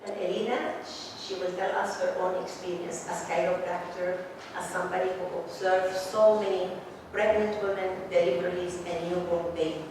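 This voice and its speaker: A woman's voice: lowish voice, steady tone, normal speed. Middle-aged woman in an echoey hall. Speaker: psychotherapist and mentor giving a talk. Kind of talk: conference talk. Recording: echoey hall, mic far off, background noise.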